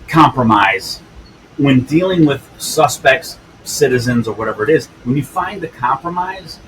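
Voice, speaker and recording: A man's voice: medium pitch, fairly bright tone, a little hiss or hum, poor audio. A man in his fifties, a police use-of-force instructor telling stories in an interview, blunt and earthy, often tagging lines with "right?". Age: 50s. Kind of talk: storytelling in an interview.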